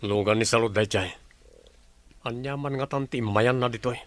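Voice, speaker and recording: A man's voice: mid-range voice, normal speed, dry recording, lightly acted. A man playing an evasive, casual-talking fiancé and employer in a radio drama. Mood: teasing. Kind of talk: Philippine radio drama acting.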